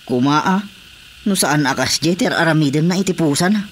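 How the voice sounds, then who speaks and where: A man's voice: fairly high pitch, normal speed, close to an everyday voice. Man acting a grumbling, jealous Ilocano husband. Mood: complaining. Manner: grumbling, complaining. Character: disgruntled husband jealous of his wife's cat. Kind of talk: radio drama comedy acting. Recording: clearly noisy radio drama recording.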